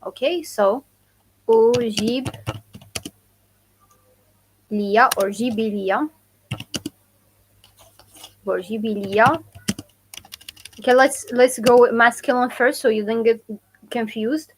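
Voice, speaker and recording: A young woman from Morocco with a Moroccan accent, teaching her dialect on a live stream and slowly repeating phrases for learners. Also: fairly high pitch, a little hiss or hum, low-quality recording. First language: Moroccan Arabic. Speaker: language teacher. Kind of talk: live-stream language lesson.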